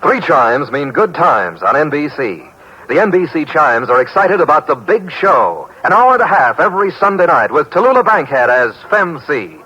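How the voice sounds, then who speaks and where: A man's voice: fairly high pitch, big pitch swings, normal speed, bright, forceful tone. A man reading closing credits and an upbeat network promo in 1940s radio announcing style. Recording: dull lo-fi 1940s radio broadcast.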